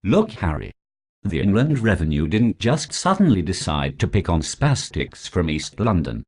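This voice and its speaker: An actor playing a foul-mouthed prosecuting lawyer who talks with sarcastic contempt. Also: male voice, deep voice, very animated, moderate pace, darkish tone, slightly rough, full character voice. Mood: scornful. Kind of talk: comedy sketch acting.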